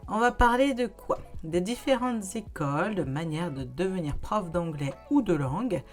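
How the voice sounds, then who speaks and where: Woman, lowish voice, chatty, talks straight to viewers, casual vlog host, faint music behind. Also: animated, moderate pace. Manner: chatty, conversational, addresses viewers directly. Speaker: YouTuber giving practical advice.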